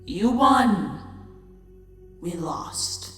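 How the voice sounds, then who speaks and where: A woman's voice: medium pitch, very slow. A woman voicing a dying, bitter changeling queen, a tired and scornful villain in an audio drama. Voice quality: tired, weary. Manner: deadpan, scornful. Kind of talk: dramatic fan fiction audio drama acting. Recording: long echoing chamber reverb, music.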